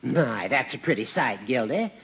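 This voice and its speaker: Very husky old judge. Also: male voice, medium pitch, sing-song, moderate pace, heavily acted. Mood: teasing. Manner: courtly, teasing. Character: genial, teasing old male judge. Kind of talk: 1940s radio situation comedy acting. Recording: dull 1940s radio recording.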